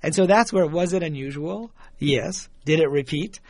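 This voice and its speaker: Guest speaker: middle-aged man from Michigan, higher voice, dry close mic, reflective and chuckly. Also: moderate pace, a little mellow, no echo. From Michigan, USA. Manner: thoughtful, chuckles often, repeats words when starting. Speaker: guest, author and engineer. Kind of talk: reflective conversational storytelling.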